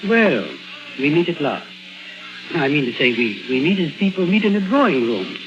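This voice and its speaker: Muffled old radio play, genial posh doctor guy, whimsical, swoopy pitch. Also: very animated, normal speed, music in the background. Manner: urbane, whimsical, hesitant phrasing. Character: urbane, genial British male psychologist, whimsically courteous. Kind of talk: 1950s radio drama acting. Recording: dull vintage 1950s radio recording.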